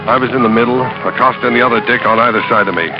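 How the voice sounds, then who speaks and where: A man playing a hard-boiled American fugitive in a vintage radio suspense play. He speaks in tense, clipped slang at a middling pitch. The sound is dull and old, with music behind it. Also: fairly even intonation, very fast, lightly acted. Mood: suspenseful narration. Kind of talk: 1940s radio acting, dramatic first-person narration.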